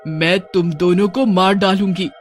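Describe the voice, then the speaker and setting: A woman's voice: fairly low pitch, fairly even intonation, a little slow, soft background music, lightly acted. A woman voicing an indignant, righteous witch in a cartoon, speaking in an emphatic, dramatic way. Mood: angry. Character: female witch, indignant and righteous, pleading protector.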